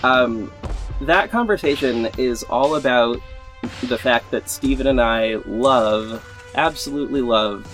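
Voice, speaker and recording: A man's voice: mid-range voice, measured pace, a bit bright. A man hosting a podcast in an informal, rambling way, repeating words for emphasis as he gives a casual update. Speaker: podcast host and audio drama creator. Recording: music playing behind the voice. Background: background music.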